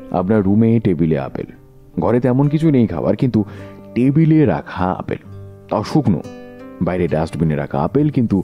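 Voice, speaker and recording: A man playing a sharp detective in a Bengali audio drama, speaking with rhetorical, theatrical questioning. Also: low voice, lo-fi sound. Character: sharp, theatrical male detective unmasking a killer.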